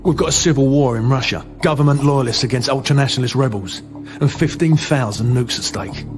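An actor playing a brisk, wry military officer giving a dry, slangy briefing in a video game. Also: male voice, mid-range voice, close to an everyday voice. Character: brisk, wry special forces officer briefing his captain. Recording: game music behind.

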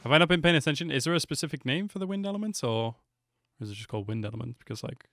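A man's voice: medium pitch, animated, rapid-fire, creaky, fairly bright tone, no room sound. Young man reacting casually, music behind. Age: 20s. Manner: slangy, easily impressed. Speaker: YouTube reaction host. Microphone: close desk microphone with pop filter. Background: music from game trailers.

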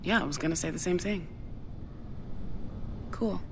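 Low-voiced, offhand young woman, rumbly background. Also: a little slow, vocal fry, noisy background. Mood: secretive, determined. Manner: casual, slangy, offhand. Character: evasive, determined young woman investigating her missing dad. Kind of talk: video game voice acting.

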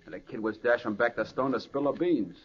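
Husky-voiced gangster henchman played by a man. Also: mid-range voice, a little fast, lightly acted. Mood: scheming. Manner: slangy tough-guy talk. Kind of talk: 1940s radio acting. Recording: muffled 1940s radio recording.

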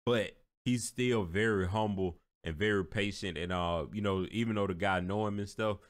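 A man's voice: on the low side, moderate pace. A man hosting a reaction video, talking casually and rambling a little as he praises what he watched. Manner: relaxed, rambling, repeats himself, admiring. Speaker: reaction channel host.

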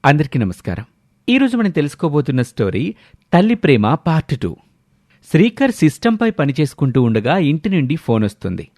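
A man's voice: on the high side, sing-song, brisk, a little mellow, dry recording, clean studio sound. A man narrating a scripted story as a storyteller, with music playing behind him. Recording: music bed under the voice. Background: background music.

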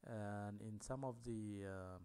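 A man giving a technical lecture over slides, talking in a halting way with many fillers. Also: on the low side, mellow, clear, resonant tone.

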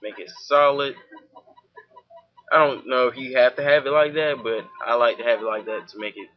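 A young man from Georgia with a Southern accent, talking casually through a software tutorial at his home computer, full of fillers. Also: medium pitch, fairly even intonation, normal speed, muffled recording. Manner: informal, many fillers, talks while clicking. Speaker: tutorial host.

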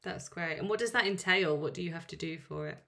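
A woman's voice: on the low side, fast, creaky, pushed and bright. A woman hosting a teaching podcast, interviewing a guest in a friendly, encouraging way. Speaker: podcast host.

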